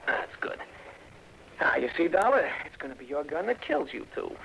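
Cocky gravelly gangster. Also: male voice, on the high side, big pitch swings, moderate pace, pushed and bright, heavily acted. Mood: smug, bossy. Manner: taunting, tough-guy slang. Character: cocky, menacing male gangster with a gun. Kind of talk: 1960s radio drama acting. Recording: dull, noisy old radio recording.

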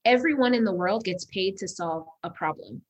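A woman's voice: mid-range voice, moderate pace, slightly rough. A woman from Uganda, raised in the US, speaking as an upbeat, motivational guest on a casual podcast interview, often laughing. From Uganda, raised in Las Vegas. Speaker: guest entrepreneur.

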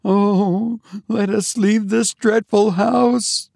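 A man's voice: sits high, animated, unhurried, darkish tone, no room sound, clean studio sound, pitched above the performer's own voice. A man voicing a timid, frail woman who speaks fearfully and pleadingly. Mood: terrified.

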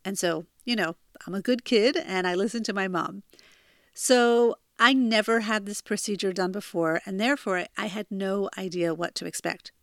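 A woman's voice: mid-range voice, moderate pace, a bit bright, no echo, studio quality. An American woman in her forties from New Jersey, hosting a self-help podcast in an earnest, confiding, chatty way from a bedroom. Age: 40s. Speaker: podcast host. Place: childhood bedroom.

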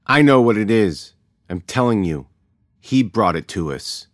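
A man's voice: fairly low pitch, animated, a little slow, dry recording, studio quality, pitched above the performer's own voice. A man voicing a nervous village woman who keeps accusing a stranger.

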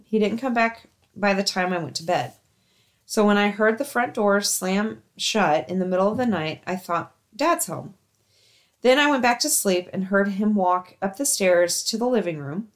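A woman hosting a show, reading listener-submitted stories aloud in a casual, chatty way. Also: medium pitch.

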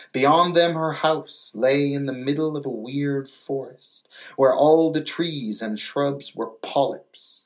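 A man's voice: medium pitch, poor audio. A man reading a storybook aloud as a narrator, mixing fairy tale narration with casual, wry asides. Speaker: storybook reader.

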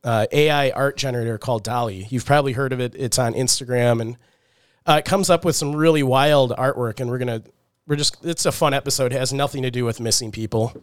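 A man's voice: medium pitch, fast talker, smooth, no room sound, clean studio sound. A man co-hosting a podcast in casual chat, a little congested from a cold, talking loosely with many fillers. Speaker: podcast co-host.

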